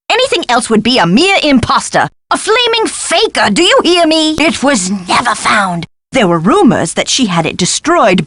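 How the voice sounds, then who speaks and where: A woman's voice: fairly high pitch, dry recording. An actress playing an eccentric, fussy female scholar in a video game, with a dramatic, flowery and emphatic delivery. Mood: indignant.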